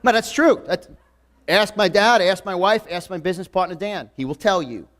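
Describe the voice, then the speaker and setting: A man's voice: high voice, normal speed, fairly bright tone. A man in his forties with a New York accent, giving an emphatic, repetitive motivational talk to a live audience. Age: 40s. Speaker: motivational speaker. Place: event room before a live audience.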